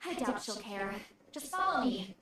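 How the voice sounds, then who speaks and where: A woman's voice: medium pitch, sing-song, normal speed, pushed and bright. A woman voicing an offhand, slightly grudging young woman in a video game, speaking casually. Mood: reluctant. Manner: casual, reluctant, a bit grudging. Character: offhand, grumbling young woman used to giving orders. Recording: echoey, distant, faint music.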